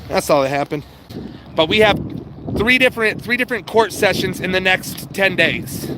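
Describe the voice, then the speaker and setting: Man, high voice, casual livestream host, informal and sweary, talks straight to viewers, clear outdoor traffic noise. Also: animated, moderate pace. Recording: noisy outdoor street and vehicle background.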